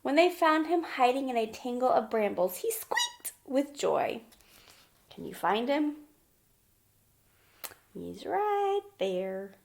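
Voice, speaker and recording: A woman's voice: high voice, very animated, slow, creaky, long pauses, a little hiss or hum. Woman teacher reading aloud to children. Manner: simple, explanatory, often asking the listener questions. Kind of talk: children's storybook read-aloud and lesson.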